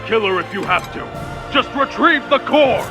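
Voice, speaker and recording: An actor playing a ruthless enemy commander in a video game, imperious and barking out orders. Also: male voice, very high voice, expressive, a little slow, over music. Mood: cold, forceful. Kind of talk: dramatic video game voice acting.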